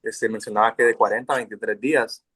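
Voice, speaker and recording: A man from Honduras politely puts a question to the speaker. His voice is low and monotone. The recording is dry and close. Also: breathy edge, no room sound. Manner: polite, formal greeting, measured. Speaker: audience member asking a question.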